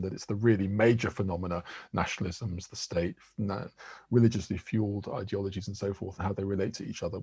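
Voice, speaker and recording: Fast-talking academic man, dry sound. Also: low voice, dark tone, slightly rough, dry recording. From England. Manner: articulate, measured, restarts words. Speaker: interviewed professor. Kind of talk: academic interview answers.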